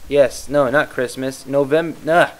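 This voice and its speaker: A man's voice: medium pitch, fairly even intonation, a little slow. A tired young man from the Midwest rambling casually as he commentates over gameplay, often losing his train of thought. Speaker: YouTube gaming commentator.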